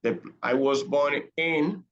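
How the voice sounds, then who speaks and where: A man leads an online English class, his speech slow and deliberate with a gravelly edge. He is patient and repeats his questions to draw students out. The call audio sounds a little dull and muffled. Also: a little high. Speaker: English teacher.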